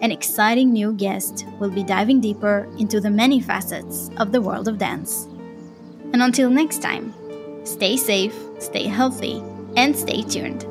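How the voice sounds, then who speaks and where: A woman's voice: medium pitch, a little slow, music in the background. A woman with an Israeli accent hosting a podcast, effusive and encouraging as she thanks her guest and reads her outro. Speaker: podcast host. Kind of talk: warm interview wrap-up and scripted outro.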